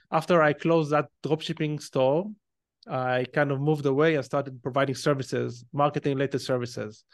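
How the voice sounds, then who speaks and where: A man's voice: fairly high pitch, clean tone, no room sound, studio quality. A man in his thirties with an Israeli accent, a podcast guest telling his story and explaining things step by step. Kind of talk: conversational interview.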